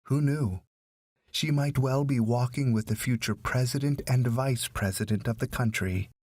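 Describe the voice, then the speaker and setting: Guy reading an audiobook story, middle pitch, dry recording. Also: normal speed, darkish tone, no room sound. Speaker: audiobook narrator. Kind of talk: scripted story narration.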